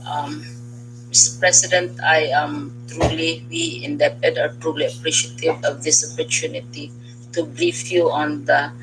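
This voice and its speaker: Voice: mid-range voice, little pitch movement, a little slow, a bit bright, soft background music, low-quality recording. A cabinet official with a Filipino accent gives a semi-formal briefing, with courteous address and hesitant phrasing.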